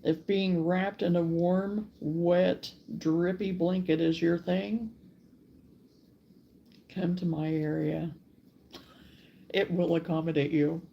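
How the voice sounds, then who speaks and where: A woman's voice: fairly low pitch, fairly even intonation, gravelly, muffled recording, a little hiss or hum. A middle-aged woman hosting a casual live stream from her rural home, chatting and drawing out her greetings to viewers. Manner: chatty, drawn-out greetings to commenters. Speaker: host of a live crochet stream.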